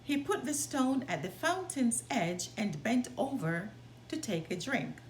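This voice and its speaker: Female picture-book narrator, expressive and deliberate, fairly high voice, faint noise behind. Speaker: storyteller reading aloud. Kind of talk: expressive children's story narration. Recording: roomy sound, background noise.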